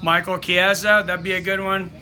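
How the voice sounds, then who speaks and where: A man hosts a live stream in a loose, chatty way, reading viewer comments and pushing people to hit the like button. His voice sits high for a man. It has a very bright, sharp edge. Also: flat delivery, moderate pace. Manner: chatty, reads viewer comments aloud, urges likes.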